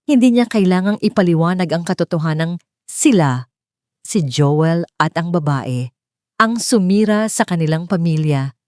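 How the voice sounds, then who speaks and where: A Filipino woman narrates a dramatic story from a script. Her voice sits on the low side and is very mellow and dark in tone. The sound is dry and close, with no room around it. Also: expressive, moderate pace, no echo.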